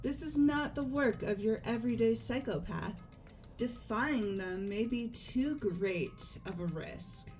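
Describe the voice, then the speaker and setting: A woman acting a high school girl in an amateur game dub, speaking in a measured, articulate way. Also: darkish tone, faint music behind. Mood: grave, analytical.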